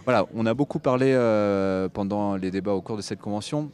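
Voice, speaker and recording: A man hosting a TV interview, with halting phrasing as he puts his questions. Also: fairly low pitch, a little mellow. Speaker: TV host interviewer. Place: TV set at a convention.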